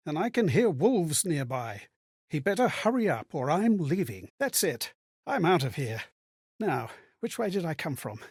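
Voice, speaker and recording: A man's voice: fairly high pitch, sing-song, a little slow, a little mellow, breathy edge, dry recording, clean studio sound, full character voice. A man voicing a courteous, bewildered spirit for a video game, theatrical and contemplative as he recounts old memories. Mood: musing, uneasy. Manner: theatrical, refined, contemplative, wordy. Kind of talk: dramatic video game voice acting, storytelling.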